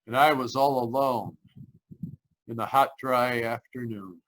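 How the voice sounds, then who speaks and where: A man's voice: mid-range voice, steady tone, unhurried, a bit bright, lightly acted, rough recording. An actor playing a haunted, anxious driver narrating his road trip in old-time radio style, tense and earnest. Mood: shaken. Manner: tense, earnest, dramatic storytelling. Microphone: video call. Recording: live video-call performance.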